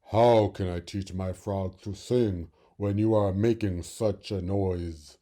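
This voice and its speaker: A man voicing an old schoolteacher frog, croaky and schoolmasterly, gruff but kindly, in a children's storybook. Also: low-pitched, steady tone, slow, mellow. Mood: grumbling.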